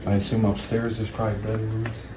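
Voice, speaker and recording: A man with a lowish voice tosses out short, flat remarks as he explores. His delivery barely rises or falls. He is heard through a camera mic, with steady background noise behind him. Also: a little fast. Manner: terse, flat, names objects in few words. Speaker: exploring companion. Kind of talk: casual remarks while exploring. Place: abandoned building, indoors.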